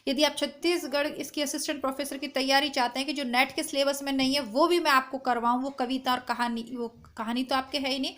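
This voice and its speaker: A woman teacher with a strained, hoarse voice, chatting to her students through an exam syllabus, recorded at home without a proper mic. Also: high voice, little pitch movement, fairly quick, few pauses, a bit bright. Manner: chatty, reassuring, addresses students directly. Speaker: Hindi exam-prep teacher. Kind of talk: informal lesson to camera. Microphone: no proper mic, phone or device built-in.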